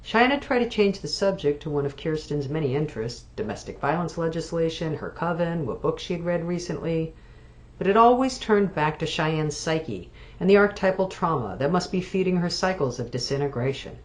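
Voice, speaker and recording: A woman author reading literary fiction aloud, measured and expressive. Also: deep voice. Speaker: author reading from own novel.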